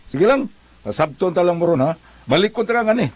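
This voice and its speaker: Excitable, high-voiced old classmate. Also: male voice, a little fast, no room sound. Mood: coaxing. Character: excitable, peacemaking male former classmate. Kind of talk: Cebuano comedy radio drama acting.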